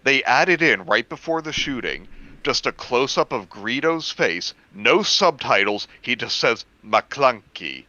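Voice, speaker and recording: A man with a bright, sharp-edged voice at an ordinary male pitch, heard through slightly muffled lo-fi audio. He explains things in casual, animated conversation. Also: a little slow.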